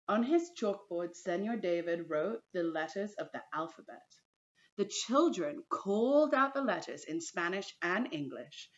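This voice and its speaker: A woman teacher reading a storybook aloud to young pupils in a deliberate storytelling manner, addressing them directly. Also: lowish voice, muffled recording. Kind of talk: children's storybook read-aloud.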